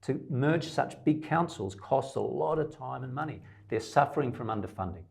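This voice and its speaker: A man's voice: mid-range voice, lively intonation, normal speed, creaky, a little mellow. An Australian man, a middle-aged independent candidate, answering interview questions emphatically in long lists, with some stammered restarts.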